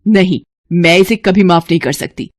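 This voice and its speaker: A woman voicing a scornful, scheming middle-aged mother-in-law in a cartoon, with a sharp, haughty, scolding way of talking. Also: fairly low pitch, moderate pace, no echo, studio quality, lightly acted. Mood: furious.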